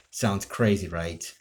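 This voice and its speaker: Casting: man with a low voice and unhurried pace, casual rambling amateur storyteller who keeps interrupting himself. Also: slight background noise. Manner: halting, rambling, self-interrupting. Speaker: amateur role-play video creator. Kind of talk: casual improvised storytelling to camera.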